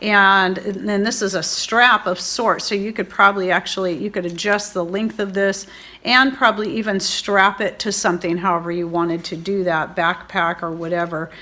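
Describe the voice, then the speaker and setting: A woman's voice: medium pitch, fairly even intonation, normal speed, bright, forceful tone. A woman giving a casual product review as a video host, in a chatty, informal, rambling way. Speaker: host of a homesteading and preparedness video channel. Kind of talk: casual product review vlog, talking to viewers.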